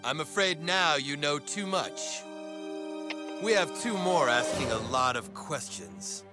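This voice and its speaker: A man playing a menacing security agent with an official, urgent manner in an animated comedy game. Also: fairly high pitch, takes it slowly, music in the background.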